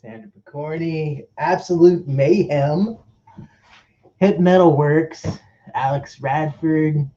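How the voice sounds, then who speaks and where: A man slowly and hesitantly reading a list of names off a screen. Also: a little high, warm tone. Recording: dull lo-fi sound, faint noise.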